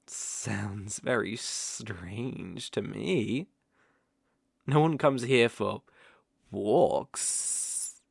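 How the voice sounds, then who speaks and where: A performer voicing a smooth-talking, predatory naga with a teasing, coaxing drawl and soft chuckles, in an ASMR roleplay. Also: mid-range voice, extremely slow, no echo, clean studio sound, heavily acted. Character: smooth-talking, sly, predatory naga fond of cute prey.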